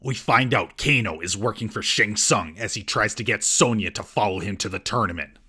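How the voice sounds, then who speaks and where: Casting: male comedy reviewer, mocking delivery, lowish and raspy, reading a scripted recap. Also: normal speed, pushed and bright. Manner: sarcastic, mocking. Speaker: comedic film reviewer and host.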